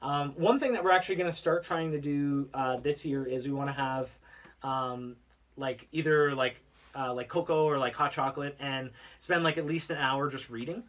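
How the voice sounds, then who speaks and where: A man's voice: medium pitch, steady tone, moderate pace. A man co-hosting a casual podcast, talking in a hesitant way full of filler words. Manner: hesitant phrasing with many likes and ums. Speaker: podcast co-host. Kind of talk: casual chat. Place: podcast recording studio. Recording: slightly distant from the mic.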